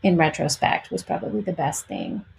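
A woman in her forties speaks with a slight Albanian-Greek accent. Her voice sits a little low as she tells her story casually as an interview guest. There is faint noise behind her. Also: very animated, moderate pace, gravelly. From Albania and Greece.

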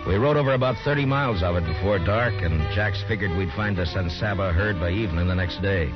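Deep, dry-witted American lawman played by a male actor, laconic and steady, vintage western radio, muffled sound with music. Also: natural voice. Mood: reflective narration. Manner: laconic, unhurried. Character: steady, wry frontier town marshal. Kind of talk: 1950s radio western acting. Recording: dull lo-fi 1950s radio recording, music.

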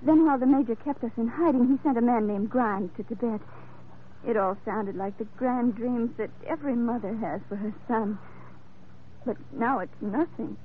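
A woman's voice: a little high, moderate pace, natural voice. An actress playing a frightened, pleading young mother in earnest, dramatic old-time radio style. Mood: despairing. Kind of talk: 1940s-50s radio drama acting. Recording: tinny, faintly hissy old radio recording.

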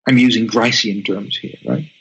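A man's voice: on the low side, normal speed, fairly bright tone, slightly rough, dull audio. A man whose first language is Dutch, a guest researcher answering interview questions thoughtfully, with many restarts and tag questions.

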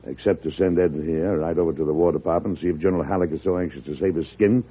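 An actor playing a weary, resolute American president in an old-time radio drama, deliberate and plain-spoken. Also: male voice, low-pitched, fairly even intonation, breathy edge. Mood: grave, composed. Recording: muffled, tinny old radio broadcast recording.

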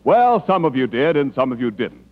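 A man delivering a polished, measured commercial pitch as a 1940s radio announcer. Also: big pitch swings. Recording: muffled 1940s radio broadcast.

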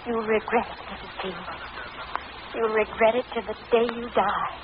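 An overwrought young woman of old radio melodrama, acted by a woman, high-voiced and vehement. She threatens through a tinny recording with loud noise. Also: steady tone, normal speed, natural voice. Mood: threatening. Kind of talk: 1940s radio acting.

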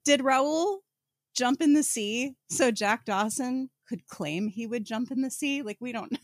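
A woman's voice: fairly high pitch, a little slow, dry recording. Female podcast co-host, slangy and wry, playfully dramatic, chatting casually about books in a dry, close recording. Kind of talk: casual book discussion podcast.

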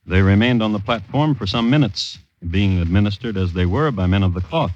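A man's voice: on the low side, animated, darkish tone, slight background noise, natural voice. Old-radio crime chronicler, acted by a man: dry, precise, very varied intonation, slightly muffled lo-fi sound. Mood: dry, wry. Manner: measured, wry, formal storytelling. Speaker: host narrator of radio crime series. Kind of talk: 1950s radio scripted narration. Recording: dull old radio recording.

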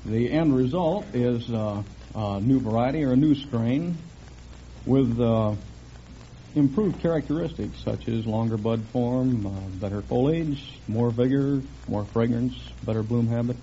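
On a dull vintage radio recording with faint noise, a man from Los Angeles speaks in a dark, mellow voice. He is a quiz contestant giving plain, matter-of-fact answers to the host's questions. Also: medium pitch, a little slow. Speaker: quiz contestant, rose breeder. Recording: muffled old radio recording, slight noise.

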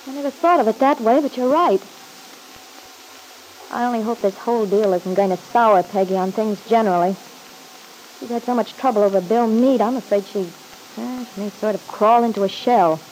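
A woman's voice: a little high, normal speed, pauses often, darkish tone, close to an everyday voice, low-quality recording. An actress playing a guarded, wisecracking young woman in an old-time radio soap opera, with slangy, breezy talk. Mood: worried. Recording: hissy vintage radio recording.

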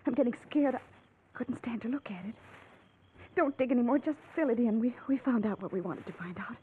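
A woman's voice: fairly high pitch, a little fast, close to an everyday voice. An actress playing a frightened young woman desperate to escape in an old-time radio drama, earnest and anxious in manner. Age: young adult. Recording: tinny, noisy vintage radio recording.

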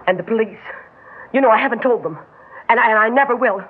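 A woman's voice: fairly high pitch, fast talker, breathy edge, phone-quality sound. An actress playing a frightened, anxious married woman, pleading and defensive, in a vintage radio drama. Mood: fearful, defensive. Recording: band-limited old radio broadcast recording.

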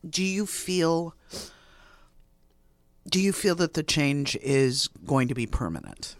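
A man's voice: a little high, lively intonation, slow. A man co-hosting a talk show, speaking with open enthusiasm about his guest's work.

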